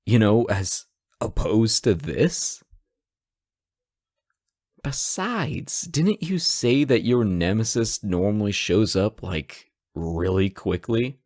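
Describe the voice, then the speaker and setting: Man playing sarcastic hostage, male, teasing, theatrical, unhurried, dry close sound, audio drama roleplay. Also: lowish voice, animated, a little mellow, no room sound. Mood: knowing, probing. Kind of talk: scripted roleplay audio drama, one side of a conversation.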